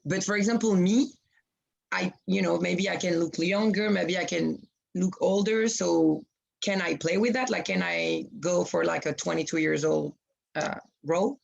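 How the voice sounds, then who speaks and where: Husky-voiced young woman. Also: on the low side, steady tone, lo-fi sound. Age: 20s. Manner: hesitant, halting with restarts. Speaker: acting student. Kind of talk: asking questions in class.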